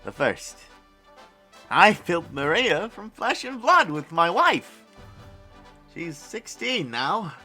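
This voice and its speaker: An excitable, fatherly inventor in a cinematic audio drama. His voice is sharp and bright, leaping in pitch as he chatters and exclaims, and slowing at tender moments. Music plays underneath. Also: exaggerated voice. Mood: fond, wistful.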